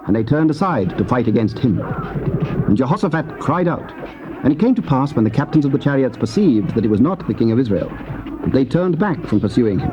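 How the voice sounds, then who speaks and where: A man's voice: mid-range voice, very animated, fairly quick, over music. A man narrating scripture as formal, dramatic storytelling. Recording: muffled, noisy old cassette.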